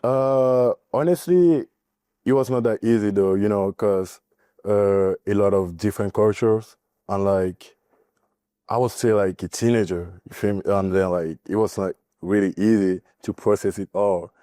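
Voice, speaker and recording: A young man from Cameroon, a college basketball player giving a podcast interview, speaking haltingly with many fillers. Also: lowish voice, mellow, dry recording, studio quality. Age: young adult. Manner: halting, filler-heavy, nervous.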